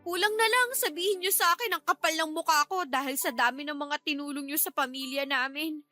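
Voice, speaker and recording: An actress playing a defiant, aggrieved young niece who whines and talks back, in a Tagalog radio drama. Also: female voice, sits high, fairly quick, sharp tone, no room sound, faint music behind. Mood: bitter.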